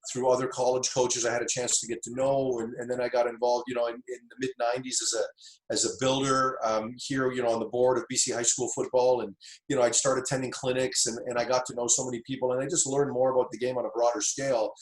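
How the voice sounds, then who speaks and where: A middle-aged man, originally from Tanzania, speaks at a mid pitch as he recalls his path into football coaching. He talks in an easygoing, reflective way, often circling back with fillers and restarts. The sound has a noticeable roomy echo. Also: level tone, fast talker. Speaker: football coach, interview guest. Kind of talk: casual interview storytelling.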